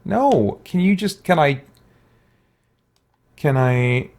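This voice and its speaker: Animated man doing game commentary. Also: a little high, extremely slow. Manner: thinks aloud, exclaiming. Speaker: gaming channel host. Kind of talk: casual let's play commentary.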